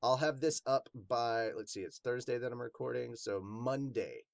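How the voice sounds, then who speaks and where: A man's voice: medium pitch, normal speed, gravelly, no echo. A man hosting a podcast, giving casual, rambling, slangy reaction commentary. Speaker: host of a manga review podcast.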